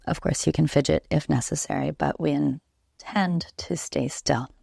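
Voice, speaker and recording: A woman guiding a relaxation meditation as a yoga nidra instructor, soothing and unhurried, leaving long pauses between gentle instructions. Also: low-pitched, fairly even intonation, creaky, slightly rough, dry recording, clean studio sound.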